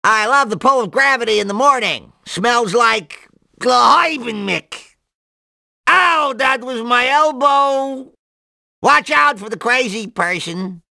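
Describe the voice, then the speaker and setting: An absent-minded cartoon mad scientist for a video game. The nasal voice lurches up and down in pitch with a gravelly creak, yet takes its time. He rambles in excitable jargon, breaking into nonsense exclamations. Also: slow pace, no echo, studio quality, full character voice. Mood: alarmed, frantic.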